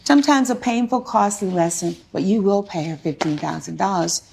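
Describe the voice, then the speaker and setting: A woman's voice: medium pitch, expressive, fairly quick, vocal fry, darkish tone, slightly rough. A woman speaking as a television courtroom judge, questioning and ruling in a stern, emphatic, lecturing way.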